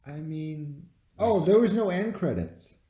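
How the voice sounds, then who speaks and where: A man's voice: a little high, expressive, unhurried, warm tone. A man in a casual, rambling chat about his opinions as an amateur reviewer, often questioning and swearing. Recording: roomy, echoing room sound.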